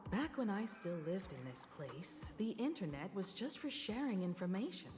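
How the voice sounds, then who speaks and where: A woman voicing a calm, wistful mature woman in a video game, measured and reflective. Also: mid-range voice, lightly acted. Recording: reverberant game audio with music.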